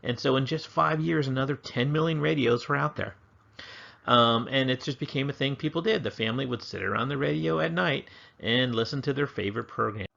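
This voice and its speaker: An American man explaining history in a relaxed, casual lesson, with fillers and restarts. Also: medium pitch, a little fast, gravelly, dull audio. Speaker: teacher.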